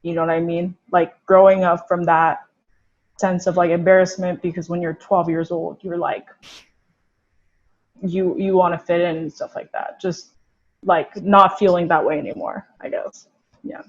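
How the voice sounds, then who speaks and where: A woman's voice: fairly low pitch, level tone, moderate pace, dull audio. A young woman from Korea, a guest in a casual conversation, talking reflectively with many fillers.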